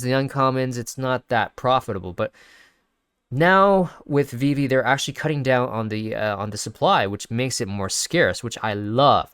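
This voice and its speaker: A man's voice: medium pitch, a bit bright, clear, resonant tone, dry recording, clean studio sound. A man hosting a casual video, thinking aloud with many fillers and restarts while sniffly from sneezing. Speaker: crypto video host. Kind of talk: casual screen-share commentary.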